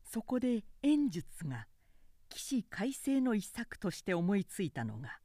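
A woman narrator reading a literary work aloud in measured, precise, announcer-like phrasing. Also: medium pitch, big pitch swings, dark tone, no room sound, studio quality.

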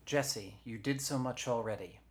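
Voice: mid-range voice, normal speed, voice pushed up, natural voice. A storyteller voicing a tough, bossy woman driver who talks in curt, sneering commands. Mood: commanding.

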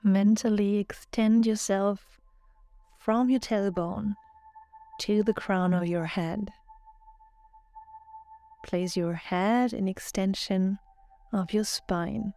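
A woman narrating a guided relaxation meditation in a calm, soothing, measured way, as a yoga nidra guide. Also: medium pitch. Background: background music.

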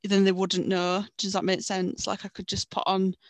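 A woman's voice: medium pitch, completely flat delivery, moderate pace, no room sound. A woman co-hosting a podcast, chatting playfully with goofy asides. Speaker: podcast co-host. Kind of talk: casual banter.

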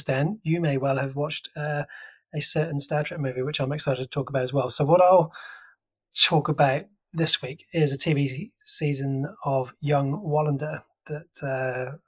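A man co-hosting a podcast, casually reviewing a TV series, talking loosely with plenty of fillers and restarts. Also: mid-range voice. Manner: chatty, full of fillers and restarts. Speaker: podcast co-host.

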